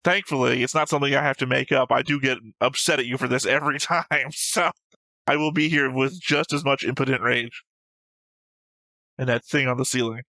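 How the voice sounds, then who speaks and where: Bright-voiced man in opinionated casual discussion. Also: mid-range voice, steady tone, a little fast, no room sound. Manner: argumentative, emphatic, swears casually. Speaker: co-host of an anime reaction show.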